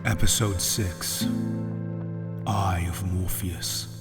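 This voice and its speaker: A man's voice: sits low, fairly even intonation, extremely slow, full character voice. A man playing an ominous announcer, giving dramatic titles for an eerie horror audio drama. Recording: long reverb, loud music.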